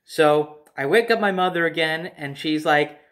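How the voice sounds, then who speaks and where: A man's voice: a little high, normal speed, a bit bright. A young man telling a personal story casually to the camera. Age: young adult. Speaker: storyteller, vlogger. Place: living room at home, by a window.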